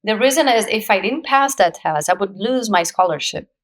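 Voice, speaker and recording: A woman in her forties from Brazil, speaking English with a Brazilian Portuguese accent as a guest in an interview, telling her story with lively, laughing energy. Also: medium pitch, normal speed. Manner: animated storyteller, laughs often, acts out quoted voices. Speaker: guest, actress. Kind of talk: casual interview conversation.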